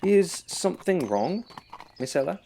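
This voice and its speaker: A man's voice: high voice, big pitch swings, measured pace, creaky, dark tone, slight background noise, full character voice. A man playing a polite, earnest captain of the royal guard in an amateur fairytale audio drama. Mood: apologetic, polite. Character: courteous, eager young royal guard captain.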